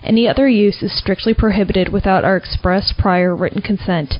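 A woman's voice: mid-range voice, fairly even intonation, brisk, no room sound. Lady announcer reading legal notice, dead dry, kinda dull sound. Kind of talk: read copyright notice.

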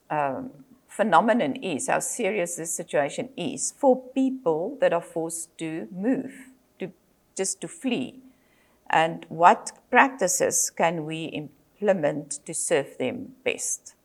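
Older South African woman, Afrikaans native; measured lecturer, fillers and restarts, slightly low voice. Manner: measured, with frequent fillers and self-corrections. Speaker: psychologist and lecturer. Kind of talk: presentation lecture.

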